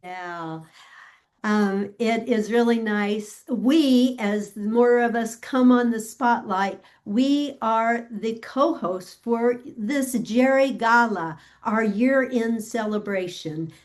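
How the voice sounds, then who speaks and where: A woman co-hosting an online gala over a video call, welcoming people with a slow greeting and some hesitations. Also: on the high side. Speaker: co-founder and co-host.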